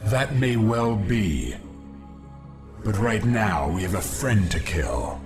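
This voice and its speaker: An actor playing an idealistic young alien templar in a video game, earnest and deliberate. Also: male voice, fairly low pitch, sing-song. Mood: somber. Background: game music.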